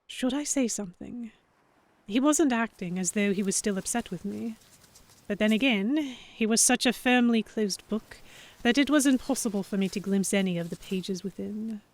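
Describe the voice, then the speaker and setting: A woman playing an earnest, anxious young heroine, narrating a dramatic story in the first person with measured expression. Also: mid-range voice, lightly acted. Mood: introspective. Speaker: narrator and lead character.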